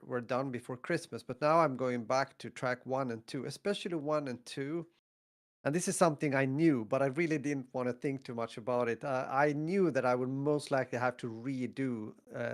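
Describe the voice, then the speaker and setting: A man's voice: mid-range voice, normal speed. A man co-hosting a podcast in casual chat, talking hesitantly and repeating words as he goes. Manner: hesitant, repeats words, stacks "you know" and "I mean". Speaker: podcast co-host.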